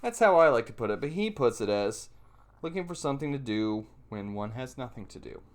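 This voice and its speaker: A man giving informal spoken instruction as a video host, plain-spoken and halting, repeating phrases as he explains and reads passages aloud. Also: medium pitch, expressive. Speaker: meditation teacher, video host. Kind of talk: informal teaching commentary with read-aloud passages.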